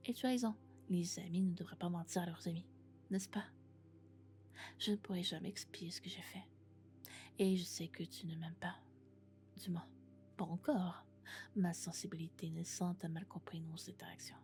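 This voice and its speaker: A woman playing a lovesick, possessive female robot in an ASMR roleplay, speaking in a hushed, breathy, intimate whisper. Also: fairly low pitch, fairly even intonation, moderate pace, long pauses, soft background music, close to an everyday voice. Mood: insistent, possessive.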